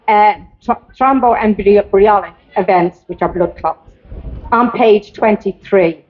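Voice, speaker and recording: An Irish woman reading a prepared campaign speech aloud at a public protest, deliberate and emphatic, sometimes stumbling over her script. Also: fairly high pitch. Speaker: campaigner giving a public address. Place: outdoors at a public rally. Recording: dull, lo-fi speech recording.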